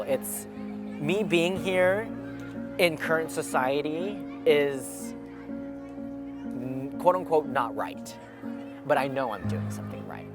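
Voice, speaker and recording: Earnest young man, thoughtful pauses. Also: medium pitch. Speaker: documentary interviewee. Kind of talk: reflective personal account. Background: music.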